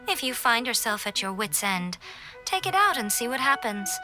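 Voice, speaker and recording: A woman voicing a sly fox-spirit shrine priestess in a video game, silky, teasing and knowing. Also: a little high.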